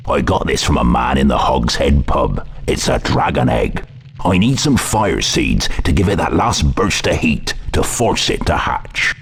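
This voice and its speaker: An actor playing a big, gruff, kindly groundskeeper in a video game. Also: male voice, a bass voice, lively intonation, a little slow, a little hiss or hum, exaggerated voice. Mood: warm, proud. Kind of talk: video game character acting.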